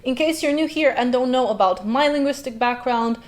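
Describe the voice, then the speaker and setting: A woman chats through a reaction video as host, playful and a little teasing. Her voice sits on the higher side, and she wanders happily into side tangents. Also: fairly quick. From Slovenia. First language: Slovenian. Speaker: host, linguist. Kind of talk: casual reaction commentary.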